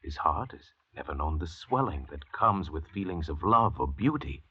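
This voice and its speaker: Suave arrogant villain, very deep raspy voice, theatrical sweep, dull vintage radio recording. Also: male voice, lively intonation, sharp tone, exaggerated voice. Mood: grandly contemptuous. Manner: pompous, deliberate, theatrical oratory. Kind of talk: old-time radio drama acting.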